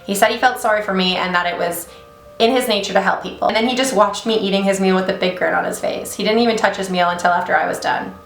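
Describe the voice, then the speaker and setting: A young woman hosts her channel from a small home office, reading a story aloud in a quick, chatty way. She breaks off often for blunt, swearing reactions. Her voice carries a noticeable room echo. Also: mid-range voice, fairly even intonation, vocal fry, slight background noise. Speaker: beauty channel host reading stories. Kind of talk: casual vlog storytelling with asides.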